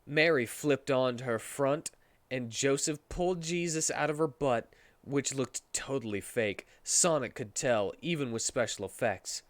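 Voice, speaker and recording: A man's voice: mid-range voice, measured pace, no echo. A man reading a story aloud as a comedic dramatic performance, sometimes stumbling over the words.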